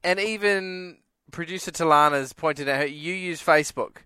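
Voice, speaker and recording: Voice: mid-range voice, moderate pace, pushed and bright, clear, resonant tone, dry recording. A radio co-host, chatting playfully, laughing often and acting out what listeners say. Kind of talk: casual radio chat.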